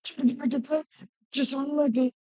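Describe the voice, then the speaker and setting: Woman, hoarse from a cold, grammar teacher, high pitch, repeats rules loudly, dry recording. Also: fairly even intonation, brisk, dry recording. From Rajasthan. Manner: asks questions and answers them herself, repeats rules loudly. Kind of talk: classroom-style lesson.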